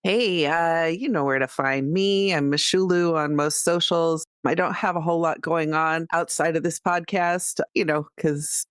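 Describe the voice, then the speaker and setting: Low-pitched woman, dry close sound. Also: fairly even intonation, a little slow, hardly any pauses, clear, resonant tone, no room sound. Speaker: tabletop game player. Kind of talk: casual chat.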